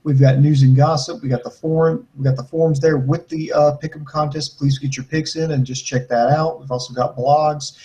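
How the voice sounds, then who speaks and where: A man's voice: on the high side, steady tone, fairly quick, few pauses, mellow, low-quality recording. A man co-hosting a wrestling podcast in casual chat, answering listener questions in a blunt, joking way. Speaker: podcast co-host.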